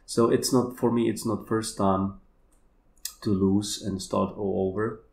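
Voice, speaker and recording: A man with a Polish accent, a native Polish speaker, talking in a halting, searching way as a podcast guest. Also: fairly low pitch, level tone, gravelly, darkish tone. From Poland. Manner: halting, searching for words, many fillers. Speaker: kambo practitioner guest. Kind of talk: casual conversation.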